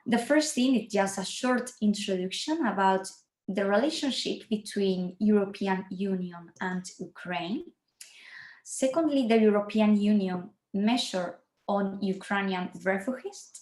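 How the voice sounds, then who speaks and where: Spanish lady presenting online in english, slow careful talk, roomy echo. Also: mid-range voice, darkish tone. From Spain. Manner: careful, apologetic about her English. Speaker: university researcher presenting.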